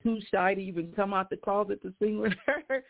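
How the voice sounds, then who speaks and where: An older woman, a guest singer, chatting on a radio call-in show with a deep voice and frequent laughter. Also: brisk. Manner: laughs often, warm exclamations. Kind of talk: casual radio call-in chat. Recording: tinny phone line.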